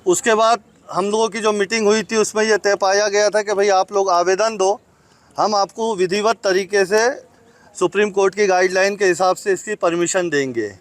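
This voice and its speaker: A man's voice: high-pitched, steady tone, normal speed. A man from India speaking as a community representative, explaining matters formally and in order for a news camera.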